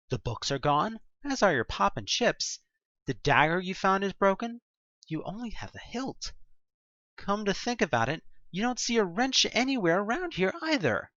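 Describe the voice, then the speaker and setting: Horror audio drama narrator, theatrical and very animated in pitch, forceful tone, dry and slightly dull recording. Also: moderate pace, no room sound. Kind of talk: dramatic scripted narration of an interactive horror story in second person.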